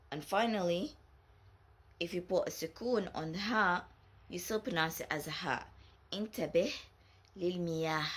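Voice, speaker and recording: A woman whose first language is Arabic, from Saudi Arabia, teaching a patient Arabic lesson and repeating each example word slowly. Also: on the low side, breathy edge.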